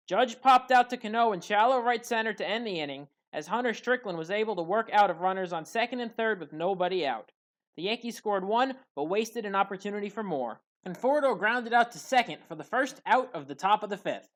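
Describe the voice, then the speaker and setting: High-pitched woman narrating sports highlights. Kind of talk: scripted play-by-play highlight narration.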